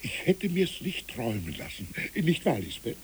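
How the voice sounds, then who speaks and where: A man playing a kindly, reflective village pastor in a literary radio play, speaking in a measured, gently formal way. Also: medium pitch, very animated, a little hiss or hum, close to an everyday voice. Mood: mild, musing.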